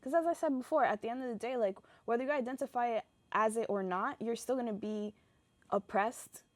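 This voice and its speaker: A woman's voice: on the high side, fairly quick, dry recording. A young woman from the United States, a guest explaining her view in a thoughtful, earnest way. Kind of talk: casual discussion.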